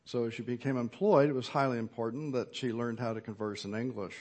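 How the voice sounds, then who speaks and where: A middle-aged man gives a sermon in an earnest, measured way. His voice sits at an ordinary pitch for a man. He pauses now and then with small fillers as he explains. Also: steady tone, darkish tone. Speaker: pastor.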